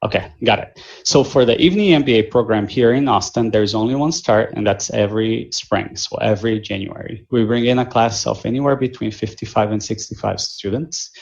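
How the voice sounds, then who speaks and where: Low gravelly man with a Brazilian accent. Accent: Brazilian Portuguese. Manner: measured, with frequent fillers and restarts. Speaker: admissions director. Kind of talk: answering questions in a virtual info session. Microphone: video call. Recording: muffled lo-fi video call audio.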